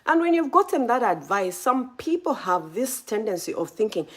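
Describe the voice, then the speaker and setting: A woman's voice: on the high side, normal speed, slightly rough. A woman from Zambia hosting a live stream, giving motivational advice and speaking straight to her viewers. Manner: animated, speaks straight to viewers, greets chat by name.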